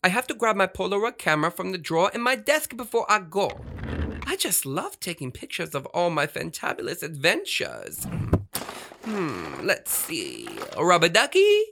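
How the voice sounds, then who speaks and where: An actor playing a flamboyant, fussy, excitable radio star with emphatic, theatrical delivery in a children's audio drama. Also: mid-range voice, moderate pace, gravelly, noisy background. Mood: breezy, delighted.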